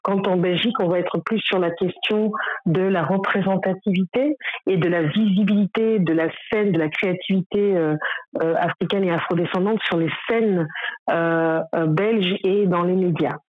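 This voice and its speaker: A woman from Burundi answers interview questions about her work, pausing often with fillers and restarting her sentences. Her voice comes through slightly muffled and dry, as if over a remote line. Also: on the low side, no room sound. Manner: hesitant, many fillers and restarts. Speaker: guest, director of a cultural organization.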